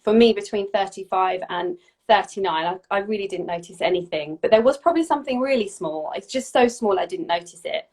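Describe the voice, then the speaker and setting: A woman's voice: mid-range voice, fairly quick, a little mellow. Woman in her forties explaining, with restarts. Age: 40s. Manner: explanatory, frequent restarts and repeats. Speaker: functional medicine practitioner. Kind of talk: casual expert chat.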